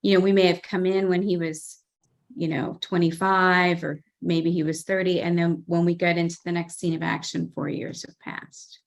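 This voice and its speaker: A woman's voice: on the low side, flat delivery, moderate pace, vocal fry. A middle-aged woman presenting a writing workshop over a video call, earnest and enthusiastic as she reads excerpts aloud. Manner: earnest, enthusiastic about examples.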